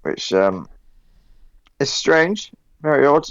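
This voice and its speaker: A man's voice: a little high, expressive, slow. A man co-hosting a casual gaming podcast, giving wry, grumbling opinions. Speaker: podcast co-host. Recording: dull lo-fi mic, dry.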